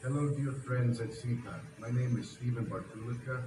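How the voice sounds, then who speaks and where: A man's voice: medium pitch, moderate pace. A man from Missouri, a politician speaking a hesitant video message from a parliament building, with frequent filler sounds. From Missouri, USA. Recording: echoey, distant, noisy.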